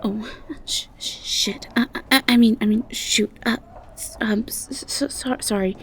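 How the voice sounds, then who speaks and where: A woman's voice: a little high, steady tone, unhurried, a bit bright, in a whisper. A woman playing a sweet, ditzy young siren student with a Southern US accent, talking in a hesitant, rambling, casual way for an audio drama. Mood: flustered, sheepish. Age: young adult.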